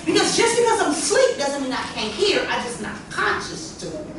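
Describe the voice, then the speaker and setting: A woman hosting a workshop, telling stories to a small group in a lively, chatty way, with a lot of "you know.". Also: sits high, brisk, far from the mic, music in the background. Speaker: workshop host. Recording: echoey room, from afar, noisy.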